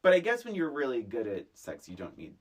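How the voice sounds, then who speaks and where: A man's voice: mid-range voice, huge pitch swings, fairly quick. A man co-hosting a podcast, chatting casually and sarcastically as he recaps a film. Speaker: podcast co-host.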